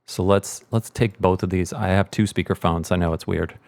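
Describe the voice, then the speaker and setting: A man with a fairly low voice walks through a software demonstration. He talks casually, thinking aloud as he goes, in a very dry recording with no room sound. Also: fairly even intonation, normal speed, vocal fry, no echo. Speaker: sound mixer giving a tutorial.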